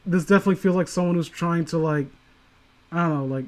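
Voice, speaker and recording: A man hosting a story-reading livestream, chatting casually and critically in a rambling, halting way with some swearing. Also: fairly high pitch. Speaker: host of a story reading stream.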